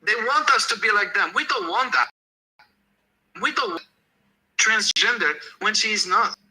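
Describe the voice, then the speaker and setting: A man's voice: sits high, expressive, measured pace, pushed and bright, low-quality recording. A man from Algeria, speaking insistently and repetitively as a caller in an online discussion. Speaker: audience caller. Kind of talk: impassioned speech in an online audio discussion.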